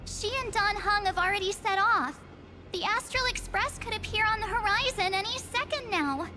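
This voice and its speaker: A woman voicing an enigmatic young female memory spirit in a video game, coaxing and softly menacing, with slow, breathy delivery. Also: extremely high pitch, soft background music. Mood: grave, eerie. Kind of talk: scripted video game dialogue.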